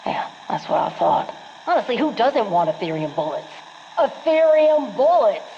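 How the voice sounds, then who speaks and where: An actress playing a brash, folksy Marine quartermaster with an American accent, delivering sassy, salty patter for a game. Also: female voice, a little high, big pitch swings, moderate pace. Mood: indignant. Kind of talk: scripted game monologue. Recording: dull, noisy radio log.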